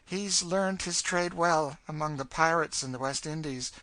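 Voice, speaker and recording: A male reader acts out a bitter storybook woman who has given up hope. The pitch is raised and the tone is hard and forceful. She is world-weary and fatalistic, leaning on her words with emphasis. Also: normal speed, no echo, rough recording. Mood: ominous.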